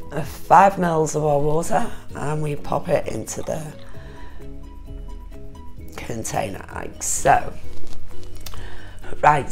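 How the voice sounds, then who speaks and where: Hoarse low-voiced woman with a cold. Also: rough recording. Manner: chatty, explains step by step while counting drops. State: hoarse, losing her voice with a cold. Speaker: aquarium hobbyist vlogger. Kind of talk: casual tutorial demonstration.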